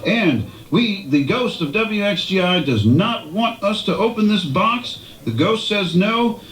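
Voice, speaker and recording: A man hosts on radio with folksy, emphatic announcing. His high voice swings widely in pitch and rings with noticeable echo. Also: expressive, a little slow, a bit bright. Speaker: radio host. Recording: echoey room.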